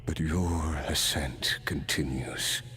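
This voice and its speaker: Cursed, battle-worn game warrior: rock-bottom pitch with a forceful edge, grave and weary. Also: male voice, heavily acted. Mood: weary hope. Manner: slow, grave, halting delivery. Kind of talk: dramatic video game voice acting. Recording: game music behind the voice.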